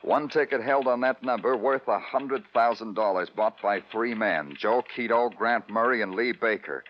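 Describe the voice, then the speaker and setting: An American actor playing a weary, brooding New York police detective in an old radio crime drama, terse and sardonic. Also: male voice, mid-range voice, normal speed, close to an everyday voice. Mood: wry, businesslike. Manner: terse, sardonic, poetic in narration. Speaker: lead actor and narrator. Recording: muffled vintage radio recording, music behind.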